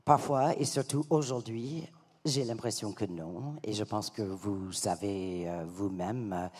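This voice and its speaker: A middle-aged woman with an American accent, speaking French on a conference panel as an association advocate, earnest and measured with some hesitations. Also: rumbling low, a little mellow, husky. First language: English. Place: large conference hall. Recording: long hall reverb.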